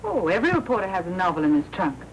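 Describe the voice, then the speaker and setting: An actress playing a spirited, independent newspaper reporter in a 1940s film, crisp and defiant, with an American accent. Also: female voice, fairly low pitch, big pitch swings, rapid-fire, dull audio. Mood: bright, hopeful. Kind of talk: 1940s film noir acting. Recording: noisy 1940s film soundtrack.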